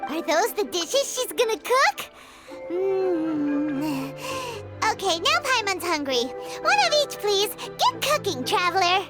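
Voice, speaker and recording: A woman voicing a tiny, childlike fairy companion in a video game, squeaky, excitable and chirpy. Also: slow, exaggerated voice. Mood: hungry, playful. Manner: chirpy, refers to self in third person. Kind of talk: English video game voice acting. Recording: loud game music behind. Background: game music.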